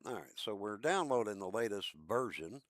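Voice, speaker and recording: A man with a low voice chatting casually with viewers while streaming a game, in a laid-back, talkative way. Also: lively intonation, normal speed, fairly bright tone, no echo. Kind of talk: casual live-stream chat.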